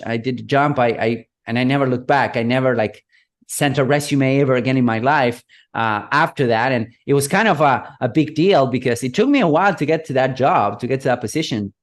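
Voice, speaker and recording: Argentine guy, 30s, relaxed storyteller, chatty with lots of fillers. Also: mid-range voice. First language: Spanish. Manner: relaxed, rambling with many fillers like "you know" and "whatnot". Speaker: guest, digital marketing consultant. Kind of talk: interview guest, storytelling.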